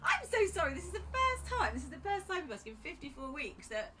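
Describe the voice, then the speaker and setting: A woman's voice: sits high, sing-song, fast, sharp tone, recorded from a distance. A woman in a band, chatting with viewers during a live stream, teasing and laughing as she talks. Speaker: band member and co-host.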